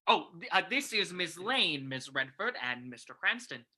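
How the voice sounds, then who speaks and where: Fussing gruff commissioner, played by a man, very bright forceful voice, old-time radio acting. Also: on the high side, sing-song, normal speed, full character voice. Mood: awkwardly consoling.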